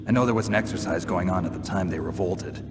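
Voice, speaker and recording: An actor playing a hardened, sardonic ex-special-forces soldier in a video game, gruff, terse and skeptical. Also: male voice, fast talker, background noise, close to an everyday voice. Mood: wary, dry. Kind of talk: video game cutscene voice acting. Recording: boomy reverberant hall.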